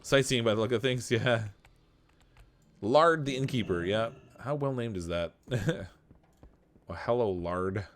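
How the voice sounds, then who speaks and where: A man's voice: medium pitch, a little slow, long pauses, slight background noise. A man streaming a game live, chatting casually, laughing often and answering his viewers as he plays. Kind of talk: casual live gameplay commentary.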